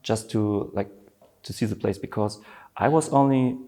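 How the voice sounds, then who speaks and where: A man in his thirties with a German accent, a podcast guest talking casually in non-native English, with many pauses and fillers. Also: on the low side, warm tone. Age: 30s. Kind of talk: casual conversation.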